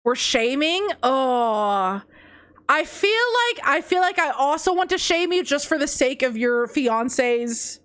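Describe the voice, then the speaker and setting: Woman streamer, high and very animated, unhurried delivery, playful and teasing, slightly congested. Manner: playful, teasing, laughs often, swings between high squeals and low mutters. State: congested, runny nose. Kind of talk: casual live-stream chat reading confessions.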